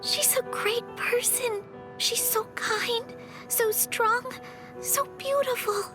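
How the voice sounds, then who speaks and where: A woman's voice: very high-pitched, fairly even intonation, takes it slowly. A woman voicing a sweet, insecure teenage schoolgirl in an anime game, speaking hesitantly and earnestly. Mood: confessing, downcast. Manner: hesitant, earnest, self-deprecating. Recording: music behind the voice.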